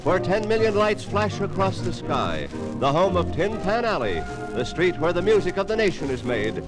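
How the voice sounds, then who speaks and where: High-pitched, animated 1950s American radio announcer, man. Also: moderate pace, music in the background, poor audio. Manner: formal, emphatic. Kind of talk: 1950s radio announcing, scripted promotional narration. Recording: vintage 1950s radio transcription.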